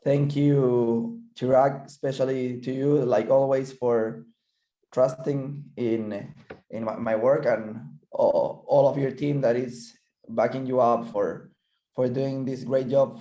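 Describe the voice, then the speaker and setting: A man whose first language is Spanish, speaking English with a Spanish accent, gives an online physiotherapy lecture, often halting and repeating words as he searches for English. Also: medium pitch, fairly even intonation, dark tone, roomy sound, highs cut off. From Chile. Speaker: physical therapist presenter.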